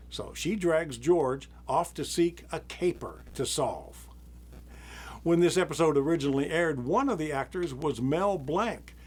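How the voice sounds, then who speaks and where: A man hosting a live theater broadcast, introducing the next act in a relaxed, informative way. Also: a little high. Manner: relaxed, informative, small laughs. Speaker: theater host. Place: studio.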